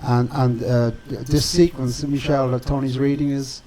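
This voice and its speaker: A man hosting a casual arts interview in a studio, enthusiastic and halting, often repeating his words. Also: medium pitch, level tone, warm tone, slight background noise. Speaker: radio show host. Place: radio studio.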